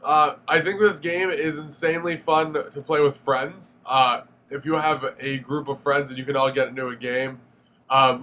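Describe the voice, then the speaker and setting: A man's voice: medium pitch, fairly bright tone, slight background noise. A young man with a stuffy nose from a cold, giving a casual, rambling spoken review of a game with lots of fillers and restarts. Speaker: gaming video reviewer.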